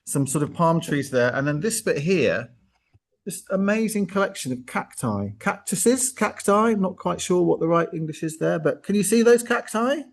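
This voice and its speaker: A man's voice: high-pitched, animated, normal speed, creaky. Enthusiastic, chatty man hosting. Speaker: host. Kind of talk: informal live online arts show presenting and interviewing.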